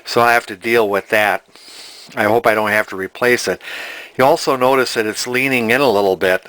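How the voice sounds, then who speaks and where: A man in his sixties talking casually and haltingly as he hosts a hands-on restoration vlog from his workshop. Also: medium pitch, fairly bright tone, poor audio. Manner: halting, hesitant, rambling. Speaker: vintage TV restorer, video host. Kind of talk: casual spoken workbench vlog. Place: cluttered indoor home workshop.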